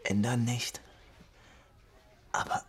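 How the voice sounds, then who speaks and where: A man's voice: mid-range voice, little pitch movement, takes it slowly, close to an everyday voice. Man acting a bitter, despairing young invalid, some noise. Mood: mocking, insistent. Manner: bitter, mocking, self-pitying. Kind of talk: naturalistic German radio drama acting.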